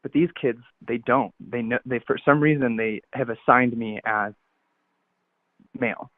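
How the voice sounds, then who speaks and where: A caller with a masculine-sounding voice, speaking haltingly with frequent restarts while confiding on a phone-in. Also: mid-range voice, no room sound. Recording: tinny phone line.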